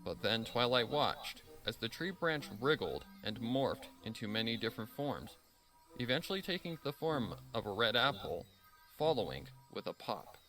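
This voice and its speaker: Guy narrating a story, mid pitch, bright clear-cut voice, music behind. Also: big pitch swings, moderate pace, low-quality recording. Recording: music under the voice.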